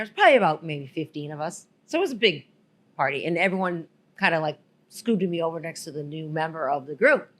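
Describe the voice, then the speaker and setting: A woman co-hosting a podcast and telling a story in casual chat, excitable and often laughing. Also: fairly low pitch. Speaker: podcast co-host.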